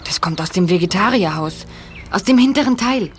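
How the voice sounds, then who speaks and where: A woman playing a cautious but excitable teenage boy detective in an audio drama, speaking in an urgent, plain way. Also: mid-range voice, fairly quick, background noise, close to an everyday voice. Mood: wary. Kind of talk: amateur audio drama acting.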